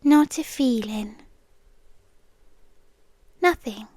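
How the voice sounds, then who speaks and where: Brief: young British woman, high voice, very slow soft whispers, dry close-mic ASMR roleplay. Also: dry recording. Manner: soft whispery intimate delivery. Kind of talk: scripted ASMR girlfriend roleplay.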